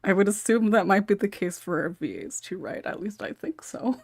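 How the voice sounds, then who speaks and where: A woman's voice: mid-range voice, normal speed, dry recording. A woman hosting a casual group podcast, chatty and often giggly. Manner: giggly, laughs often between remarks. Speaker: podcast host.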